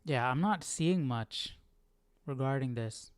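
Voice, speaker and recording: A man's voice: medium pitch, lively intonation, slow pace, clean tone, dry recording. Casual man hosting a livestream, dry close sound. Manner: thinks out loud, informal. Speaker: host of a live Bible study stream. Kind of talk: casual livestream chat with reading and commentary.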